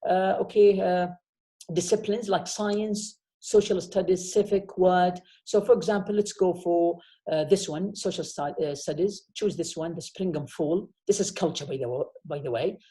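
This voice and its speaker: Male professor, mellow tone. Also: sits high. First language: Arabic. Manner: explanatory, frequent "okay?" checks. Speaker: university professor. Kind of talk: online lecture. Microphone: video call.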